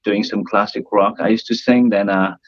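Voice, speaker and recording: Young guy from northern india, nepali native, low tired voice, dry muffled call sound. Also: steady tone, moderate pace, no room sound. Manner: hesitant, many uh fillers. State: tired, worn voice. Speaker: cruise ship band singer. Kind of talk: casual interview chat.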